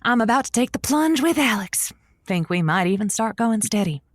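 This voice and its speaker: A woman's voice: on the high side, lively intonation, normal speed, no echo, studio quality. A woman playing a wisecracking, sentimental radio host in an audio drama, with teasing, slightly hesitant banter.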